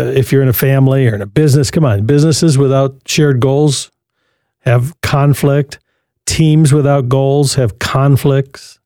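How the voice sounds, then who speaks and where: Male radio host, mellow dry studio voice, preachy and urging. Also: medium pitch, no room sound. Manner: preachy, urging listeners to call.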